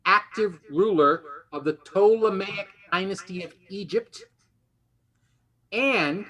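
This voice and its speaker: A man hosts a casual game show in a high-pitched, bright voice. He chats his way through the explanations, often halting and rewording himself. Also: rough recording.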